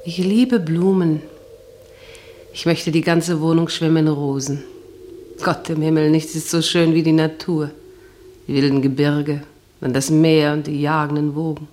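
An actress playing a sensual, dreamy, plain-spoken wife who muses to herself in a German radio drama monologue. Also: female voice, low-pitched, darkish tone. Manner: musing, intimate, talking to herself.

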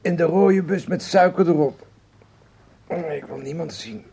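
An actor playing a weary, grumbling lover in a Dutch radio drama, languid and petulant. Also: male voice, fairly high pitch, a little slow, background noise. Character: weary, grumbling, amorous male lover refusing the outside world.

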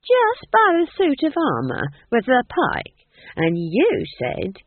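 A man's voice: very high voice, huge pitch swings, slow, dry recording, narrow, tinny audio, voice pushed up, heavily acted. A man voicing a stubborn, cheeky old witch in a storybook reading, with folksy, blunt speech. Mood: sly, defiant.

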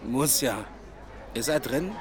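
An actor playing a laid-back handyman who lets flats, with an offhand, colloquial manner in casual radio play dialogue. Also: medium pitch, takes it slowly, crowd noise. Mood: dry, familiar.

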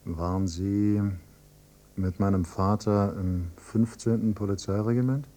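Low, muted, bitter grieving son played by a man. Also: steady tone, a little slow, warm tone, close to an everyday voice. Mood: accusing. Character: grieving adult son, quietly bitter and probing. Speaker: first-person narrator and character. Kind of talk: literary radio drama monologue and dialogue. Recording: noisy hummy cassette copy of radio play.

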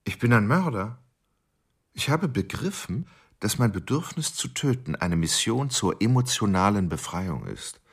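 Husky, tormented middle-aged killer. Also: male voice, on the low side, big pitch swings, unhurried, full character voice. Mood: cold, exultant. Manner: obsessive, volatile, bursting into single-word chants. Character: obsessive, tormented, cold-blooded middle-aged murderer confessing. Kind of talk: radio drama monologue.

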